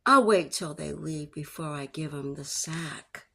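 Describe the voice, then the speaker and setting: Creaky-voiced woman reading a story aloud. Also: low-pitched, a little slow, fairly bright tone, slight background noise. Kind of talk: read-aloud story narration.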